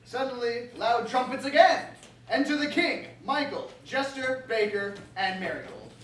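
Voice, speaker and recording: A woman's voice: on the high side, lively intonation, measured pace. A woman reading stage directions as scripted narration. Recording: very echoey hall, distant mic.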